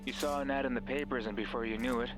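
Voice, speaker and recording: A man's voice: medium pitch, fairly even intonation, quick pace, music in the background, lightly acted, low-quality recording. An actor playing a mysterious, controlling male voice in a horror game, taunting, sardonic and condescending. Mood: sardonic storytelling. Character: mocking, cruel, controlling mysterious male voice on a radio.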